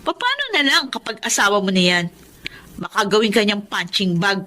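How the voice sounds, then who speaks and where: An actress playing a blunt, protective young Filipino best friend in a radio drama. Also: female voice, mid-range voice, sing-song, moderate pace, pushed and bright. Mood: suspicious, scolding. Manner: blunt, scolding, probing.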